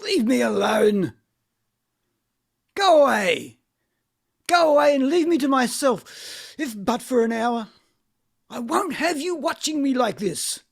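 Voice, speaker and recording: Woman playing a creaky, despairing man. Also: high-pitched, animated, takes it slowly, long pauses, heavily acted. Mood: irritated. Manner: anguished, theatrical, bitterly laughing. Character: despairing, self-loathing middle-aged man in rural Russia. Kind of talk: amateur play reading.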